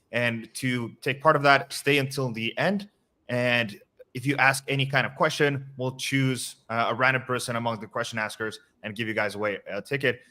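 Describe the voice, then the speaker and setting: A man's voice: medium pitch, sharp tone. A man in his twenties from Estonia, a webinar guest, talking in an upbeat, direct way. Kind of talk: casual expert answers.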